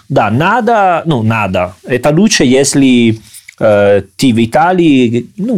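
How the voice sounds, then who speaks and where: An Italian man talks in a relaxed, casual way, his Russian halting and heavily accented. He pauses on fillers and restarts words as he searches for them. His pitch sits in an ordinary middle range. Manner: hesitant, broken Russian with many fillers. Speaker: co-host. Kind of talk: casual chat.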